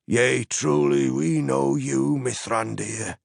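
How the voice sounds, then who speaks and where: Man as stern guard captain, pitched up, forceful. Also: slow, gravelly, dry recording, clean studio sound. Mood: wary, challenging. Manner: formal, challenging, archaic. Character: wary, stern male leader of city guardsmen.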